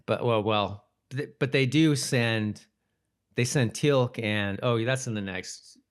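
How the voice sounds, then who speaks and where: A man co-hosting a podcast, casually discussing a TV episode in a halting way, repeating and rephrasing as he goes. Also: mid-range voice, clean tone. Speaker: podcast co-host.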